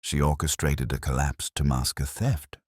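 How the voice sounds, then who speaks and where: A man playing a quiet, shrewd police inspector with a measured, probing manner in an audio mystery story. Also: very deep voice, fairly even intonation, dry recording, clean studio sound. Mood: assured.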